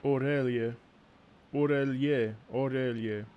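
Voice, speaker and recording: A man's voice: mid-range voice, slow pace, lo-fi sound. A man reacting to a game as a streamer, talking in a casual, playful way. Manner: playful, animated. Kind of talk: casual livestream chat. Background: faint music.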